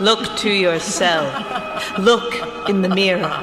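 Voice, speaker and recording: Radio horror spirit played by a woman: female, taunting, commanding, extremely slow, heavy music bed. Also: medium pitch, lightly acted. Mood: menacing, seductive. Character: taunting seductive graveyard spirit, a female phantom. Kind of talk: horror radio play acting. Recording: loud music over the voice.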